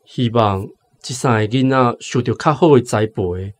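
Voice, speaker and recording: An actor with a Taiwanese accent playing a contrite, apologetic widower in a radio drama. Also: male voice, mid-range voice, normal speed, lightly acted. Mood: remorseful. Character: contrite widowed husband pleading with in-laws.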